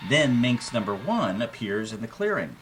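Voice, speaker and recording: A man's voice: medium pitch, very animated, moderate pace, music in the background. A man narrating in a dramatic, scripted storytelling style, theatrical and suspenseful, as a co-host retelling a film.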